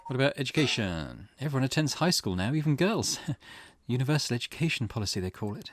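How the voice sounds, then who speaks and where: A man's voice: medium pitch, very animated, fast, lightly acted. A man voicing a weary, grieving middle-aged mother from a visual novel, reading her old-fashioned, wistful thoughts aloud.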